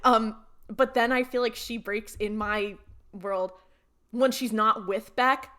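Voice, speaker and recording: A young woman with a fairly high voice hosts a casual, comedic podcast panel. She spins stories in an animated way, slipping into little acted-out asides. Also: slow pace. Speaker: podcast host.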